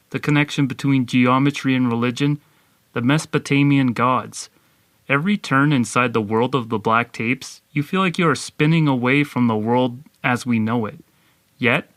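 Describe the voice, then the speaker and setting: A man co-hosting a podcast, delivering a scripted review in a measured presenting style. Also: medium pitch, fairly bright tone, dry recording.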